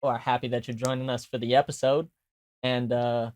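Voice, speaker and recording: A man hosts a casual podcast interview and asks his questions with plenty of halting fillers. His voice sits at an average pitch and stays easygoing. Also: flat delivery, darkish tone. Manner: hesitant, many uh fillers. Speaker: host.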